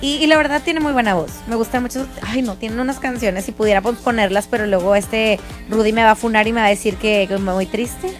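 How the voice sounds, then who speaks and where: A woman's voice: on the high side, fast talker, few pauses, soft background music. A young Mexican woman hosting a show, chatting in a gushing, slangy way about celebrity news. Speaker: radio show host. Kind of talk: casual entertainment chat.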